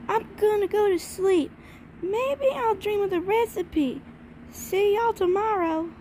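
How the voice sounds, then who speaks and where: A woman's voice: very high-pitched, takes it slowly, warm tone, a little hiss or hum. A woman voicing an earnest, friendly little country filly in an amateur cartoon dub. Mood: thoughtful.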